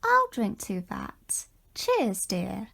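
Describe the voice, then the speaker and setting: A woman's voice: mid-range voice, wildly swooping pitch, extremely slow, vocal fry, heavy background noise, full character voice. A woman playing a flirtatious society lady at a party in an amateur audio drama, with an affected manner. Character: flirtatious society lady guest, fond of a drink.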